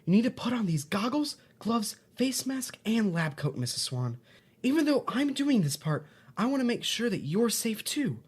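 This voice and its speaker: A woman's voice: fairly high pitch, big pitch swings, moderate pace, darkish tone, slight background noise. A woman playing a cheerful, bossy children's-show host in an audio drama, giving instructions in an upbeat, reassuring way. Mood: instructive. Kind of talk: audio drama, parody of educational kids' show.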